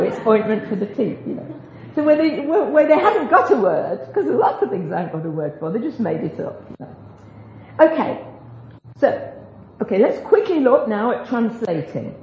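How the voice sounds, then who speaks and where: A woman's voice: on the high side, very animated, normal speed, slight background noise. A woman giving an informal Bible teaching talk to a group, chatty and self-deprecating, with joking asides. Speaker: speaker teaching a group about biblical Hebrew. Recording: roomy, dull lo-fi recording of a talk.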